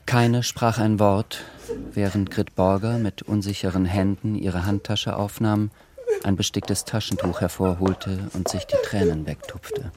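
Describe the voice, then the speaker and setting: A man's voice: lowish voice, a little slow, noisy background. A man reading scripted literary narration for a radio drama.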